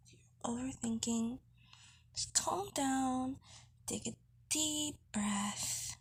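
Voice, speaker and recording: A woman playing a nervous, bubbly young woman in an intimate roleplay audio, with flustered, halting, breathy speech. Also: high voice, full character voice, rough recording. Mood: anxious, flustered. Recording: loud cooking noise behind the voice. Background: kitchen cooking sounds.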